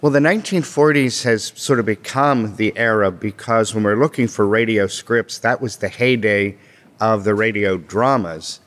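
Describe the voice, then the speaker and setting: Measured man in a reverberant space. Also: fairly low pitch, a bit bright. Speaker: longtime theatre company member. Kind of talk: casual interview answers. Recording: long reverb.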